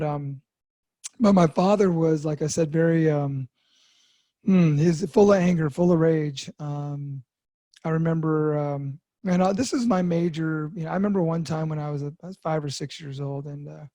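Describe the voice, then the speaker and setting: A man's voice: fairly high pitch, steady tone, normal speed, mellow, no echo. A man in his fifties telling his own recovery story in a candid monologue, with many fillers and restarts. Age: 50s. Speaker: podcast host sharing recovery story.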